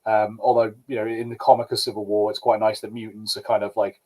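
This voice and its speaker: A man's voice: on the low side, flat delivery, fast, a little mellow. A man co-hosting a podcast, talking casually with a hesitant, stammering delivery. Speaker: podcast co-host. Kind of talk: casual pop culture review chat.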